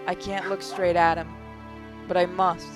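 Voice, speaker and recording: A narrator reading visual novel text aloud in a measured, dramatic way over game music. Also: medium pitch, flat delivery. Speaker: gaming channel narrator.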